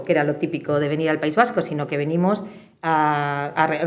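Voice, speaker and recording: A woman's voice: fairly low pitch, darkish tone. A middle-aged woman with an accent from Spain, giving personal testimony as an activist on a forum panel. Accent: Spanish from Spain. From Spain, Madrid and the Basque Country. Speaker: panelist, peace movement activist. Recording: clear background noise and room ambience.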